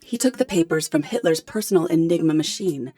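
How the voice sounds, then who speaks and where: An actress playing a poised, articulate professor in a radio drama, explaining things in a measured, polite way. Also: female voice, on the low side, expressive, mellow, dry recording, faint music behind. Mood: explanatory. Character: poised, articulate female professor hiring a detective.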